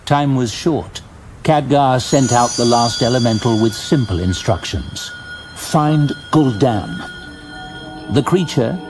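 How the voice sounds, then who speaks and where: A man narrating an audiobook in a measured, even storytelling manner. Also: mid-range voice, breathy edge.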